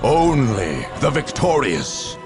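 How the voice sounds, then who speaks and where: A man's voice: medium pitch, very animated, takes it slowly. A man performing as a solemn chronicler of far-future war, narrating a game cutscene in a grave, portentous, theatrical way. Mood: solemn, foreboding. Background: music.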